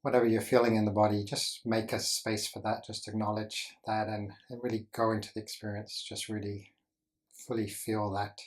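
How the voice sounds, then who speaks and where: A man leading a guided meditation as a teacher, speaking gently in short instructional phrases with deliberate pauses. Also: on the low side, flat delivery.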